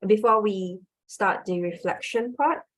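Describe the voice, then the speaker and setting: A woman's voice: mid-range voice, measured pace. A woman emcee moderating an online conference over a video call, polite and hesitant with many fillers.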